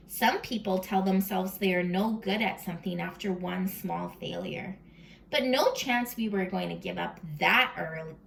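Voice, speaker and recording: Slow, creaky-voiced woman reading to children. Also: mid-range voice, slight background noise, low-quality recording. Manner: expressive, addresses children with questions, often drops into whispers. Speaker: read-aloud host for young children. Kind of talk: animated children's storybook read-aloud with chat to the listeners. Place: at home.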